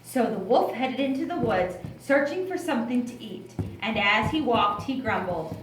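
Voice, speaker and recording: A woman narrates a children's puppet show in a high, very lively voice. She is theatrical and unhurried, recorded in an echoey, noisy room. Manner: theatrical, drawn-out. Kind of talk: scripted children's story narration. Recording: echoey room with clear background noise.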